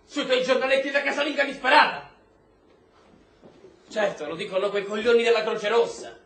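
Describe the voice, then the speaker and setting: An actor playing a volatile, domineering army officer and husband in a stage-style drama, coarse and contemptuous. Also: male voice, very high voice, normal speed. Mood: defensive, scornful. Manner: coarse, contemptuous, erupting into shouts. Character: volatile, bitter, domineering military husband, prison camp officer. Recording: roomy echo, faint noise.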